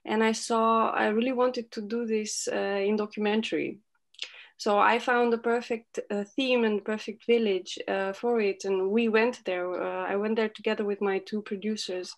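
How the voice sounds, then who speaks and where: A woman's voice: medium pitch, flat delivery, moderate pace. A woman with a Romanian accent giving a casual interview answer as a filmmaker on a video call. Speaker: documentary filmmaker, panel guest.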